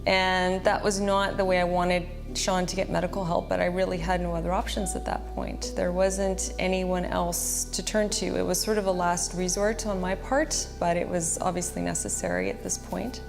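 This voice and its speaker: A woman's voice: fairly low pitch, level tone, normal speed, gravelly, hardly any pauses. A woman giving a personal testimony, recounting events in an earnest, plain-spoken way. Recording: music bed under the voice. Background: background music.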